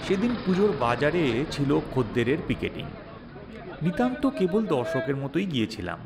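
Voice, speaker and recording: Guy reading a wry dramatic story, really lively pitch swings, bit of creak, music under it. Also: moderate pace, crowd noise. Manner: expressive, wry, theatrical delivery. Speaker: story narrator voicing the protagonist. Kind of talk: dramatized audio story narration in first person.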